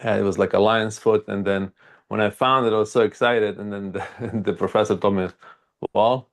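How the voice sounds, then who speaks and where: A man with a Croatian accent speaks in a very deep register with a lot of gravelly fry. He tells stories casually as an interview guest, halting often and leaning on filler words. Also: fairly even intonation. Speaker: archaeologist and video creator, interview guest.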